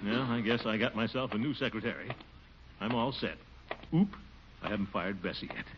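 An actor playing a pompous, easily flustered middle-aged city official in a 1940s radio comedy. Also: male voice, lowish voice, brisk, lightly acted. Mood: blustering. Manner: flustered, blustering, mutters to himself. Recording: muffled old radio broadcast with hiss.

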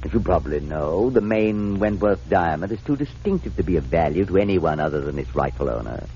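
A smug criminal mastermind in an old radio play. His voice is very low and mellow, with courteous, deliberate phrasing that carries calm menace. The 1940s recording is muffled and noisy. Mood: suave menace. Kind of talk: 1940s radio drama acting.

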